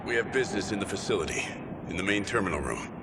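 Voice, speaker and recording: An actor playing a brusque, commanding mercenary commander in a video game, giving curt orders. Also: male voice, animated, fast, lightly acted. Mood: stern command. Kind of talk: dramatic video game acting. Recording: clear background noise, vehicle rumble.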